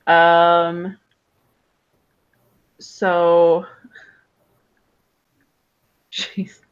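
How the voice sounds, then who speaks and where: A woman's voice: on the low side, monotone, extremely slow, clear, resonant tone. A woman playing a tabletop game, describing her character's moves in a drawling, self-mocking way. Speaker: player. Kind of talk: tabletop role-play. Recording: dull lo-fi call audio.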